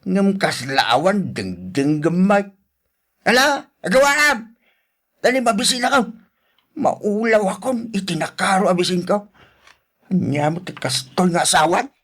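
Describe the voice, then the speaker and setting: Actor playing a grumpy husband, wildly swinging pitch. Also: male voice, lively intonation, a little slow, a bit bright, heavily acted. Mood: scolding. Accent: Ilocano. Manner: complaining, exclamatory. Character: grumpy, nagging Ilocano husband, quick to scold. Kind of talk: radio drama acting.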